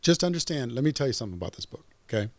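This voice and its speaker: A man in his fifties, a podcast guest, talking in an emphatic, animated way. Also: mid-range voice, very fast, no room sound. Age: 50s. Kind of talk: casual interview chat.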